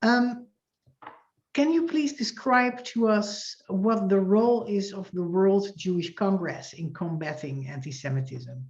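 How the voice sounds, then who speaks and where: A woman hosting a podcast interview, calmly asking questions. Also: medium pitch, a little slow. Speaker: podcast host. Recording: dull echoey sound.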